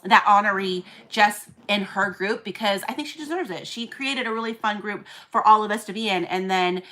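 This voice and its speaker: A woman's voice: medium pitch, moderate pace, sharp tone. A woman with a New Zealand accent chatting casually on a livestream as a seller and collector group admin, rambling with lots of fillers and restarts.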